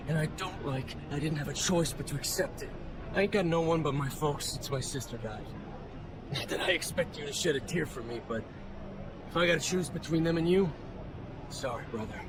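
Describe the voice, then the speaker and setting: A man's voice: a little high, natural voice. A man playing a bitter, cornered soldier turned informant in a video game, defiant and colloquial. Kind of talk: video game cutscene acting. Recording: clear background noise.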